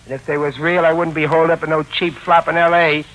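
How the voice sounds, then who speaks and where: A man's voice: fairly high pitch, level tone, fast, a bit bright, highs cut off, lightly acted. An actor playing a big, good-natured working-class tough guy in a 1940s radio drama, talking in ungrammatical street slang. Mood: defensive. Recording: noisy vintage 1940s radio recording.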